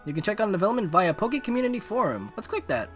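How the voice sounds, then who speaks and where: A man live-streaming a game, giving excitable, casual, slangy commentary and reading on-screen text aloud. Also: high-pitched, quick pace, faint music behind.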